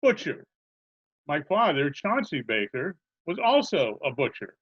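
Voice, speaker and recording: A man's voice: a little high, very animated, measured pace, fairly bright tone, slightly rough, exaggerated voice. A man playing a genial, scatterbrained butcher in old-time radio comedy style, chatty, earnest and politely literal-minded. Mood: earnest, muddled. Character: genial scatterbrained male butcher who muddles every question. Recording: dull remote video-call recording.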